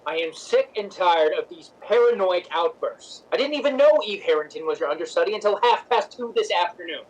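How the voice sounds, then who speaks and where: A man's voice: sits high, expressive, a little fast, rough recording. A man playing a weary, frank boyfriend who is firm and dryly pleading, in a radio drama. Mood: exasperated, insistent. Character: weary, frank, loving boyfriend of a temperamental actress. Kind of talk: radio drama acting in 1950s style.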